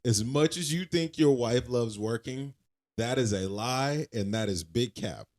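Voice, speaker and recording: A man's voice: mid-range voice, a little slow, darkish tone. A young man co-hosting a casual podcast, emphatic and repetitive as he gives blunt advice. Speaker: podcast co-host.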